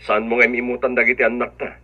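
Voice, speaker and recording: Muffled lo-fi male voice, medium pitch, Ilocano accent, affectionate husband and father played by a man, earnest radio drama. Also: completely flat delivery, fast talker, natural voice. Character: caring husband and father working abroad.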